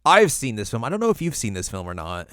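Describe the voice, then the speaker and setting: A man's voice: mid-range voice, wildly swooping pitch, fast talker, fairly bright tone, smooth, dry recording, clean studio sound. A man co-hosting a podcast in casual conversation, informal and wry, repeating words for emphasis. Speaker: podcast co-host. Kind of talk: casual chat, film ranking discussion.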